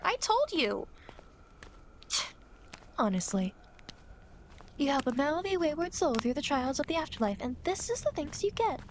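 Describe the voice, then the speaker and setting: Casting: actress as smug, playful female demon guide for ASMR roleplay, high voice with lively swings, teasing and easily affronted. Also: a little hiss or hum. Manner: teasing, smug, mock-indignant.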